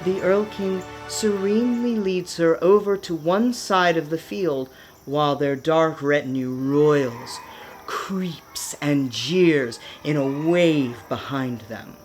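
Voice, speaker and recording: A woman's voice: low voice, slow, soft background music. A woman narrating a scripted fantasy radio play with a dramatic storytelling manner.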